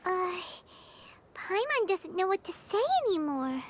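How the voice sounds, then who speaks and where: A woman's voice: very high voice, animated, unhurried, a little hiss or hum. A woman voicing a small floating fairy companion who speaks of herself in the third person. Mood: speechless.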